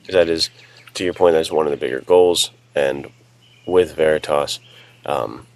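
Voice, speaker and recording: A man's voice: very deep voice, normal speed, a little mellow. A man co-hosting a podcast, talking in an informal, conversational way. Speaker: podcast co-host. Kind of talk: casual podcast chat.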